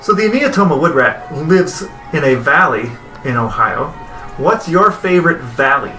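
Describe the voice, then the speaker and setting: Male co-host, very bright and forward voice, casual storyteller, faint music underneath. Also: fairly high pitch, expressive, moderate pace. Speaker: podcast co-host.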